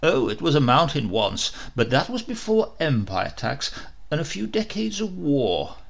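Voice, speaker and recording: A man's voice: big pitch swings, a little slow, vocal fry, heavily acted. A man reading a comic storybook and voicing a jovial, mocking knight prince who teases a lying bird. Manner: booming, teasing.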